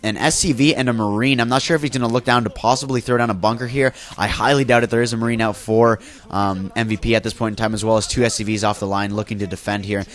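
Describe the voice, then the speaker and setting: A man's voice: lowish voice, fairly even intonation, fast talker. Nasal, congested man casting a game. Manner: loose, with many fillers and self-corrections. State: congested from a flu, nasal, coughing. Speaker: esports caster. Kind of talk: solo play-by-play game commentary.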